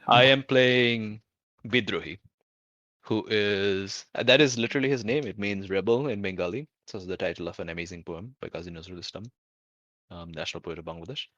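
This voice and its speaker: Low-voiced man, bright and thinking aloud. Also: no room sound, highs cut off. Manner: halting, thinking aloud, informal with swearing. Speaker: player in a tabletop roleplaying show. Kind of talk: casual out-of-character game discussion.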